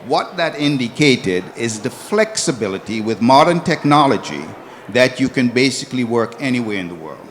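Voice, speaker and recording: A man's voice: mid-range voice, sing-song, normal speed, fairly bright tone, a little hiss or hum. A man speaking on a conference panel, explaining a point through a personal example. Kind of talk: panel discussion. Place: conference hall.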